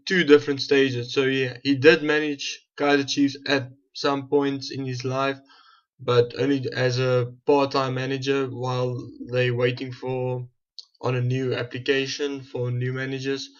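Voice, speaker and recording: A South African man, a native Afrikaans speaker, giving casual, slightly rambling gameplay commentary as a gaming video host. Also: mid-range voice. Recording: dull, muffled lo-fi home recording.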